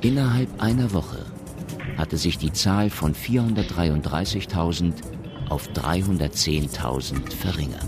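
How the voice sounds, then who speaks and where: The sober narrator of a science fiction radio play. His voice is extremely low and gravelly, and he tells the story in a measured, matter-of-fact way over music. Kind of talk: scripted radio drama narration.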